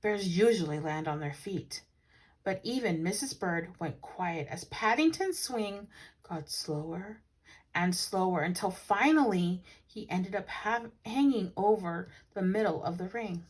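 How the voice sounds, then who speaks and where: A woman's voice: mid-range voice, a little slow, breathy edge. Woman reading a storybook aloud expressively. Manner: animated, with dramatic emphasis for children. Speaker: teacher reading a children's book aloud.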